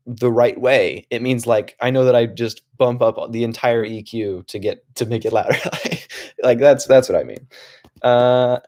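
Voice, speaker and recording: A young man hosting a relaxed livestream from his home desk, talking softly in a calm, rambling way with many restarts. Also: mid-range voice. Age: young adult. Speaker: livestream host.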